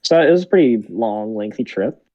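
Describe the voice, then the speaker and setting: A man's voice: lowish voice, lively intonation, moderate pace. A teenage boy chatting casually and joking around on a podcast, hesitating often with fillers. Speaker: podcast co-host. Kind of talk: casual chat. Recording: dull lo-fi sound.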